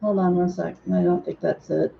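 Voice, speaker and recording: A hoarse woman chatting casually and a bit scattered as she hosts a livestream from her home painting room. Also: on the low side, fairly even intonation, normal speed. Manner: rambling, unprepared, chatty. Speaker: livestream host. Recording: dull lo-fi sound.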